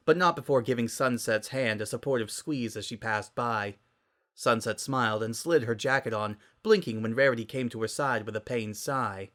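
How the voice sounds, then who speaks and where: Bright-voiced dramatic story narrator. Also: mid-range voice. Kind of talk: dramatic scripted story reading.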